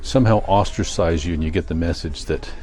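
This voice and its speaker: An older man with a Canadian accent, chatting casually in a rambling vlog, thinking aloud in a halting, self-correcting way. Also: low voice, darkish tone, faint music behind. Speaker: vlogger.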